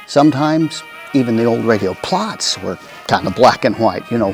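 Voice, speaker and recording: A man's voice: lowish voice, vocal fry, poor audio. A man hosting with a scripted radio introduction, speaking conversationally straight to the listener. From United States. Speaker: host.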